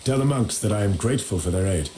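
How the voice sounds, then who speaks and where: An actor playing a noble, resolute knight commander in a video game, speaking formally. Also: male voice, fairly low pitch, expressive, fast, noisy background, lightly acted. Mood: resolute, gracious. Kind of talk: dramatic video game cutscene acting.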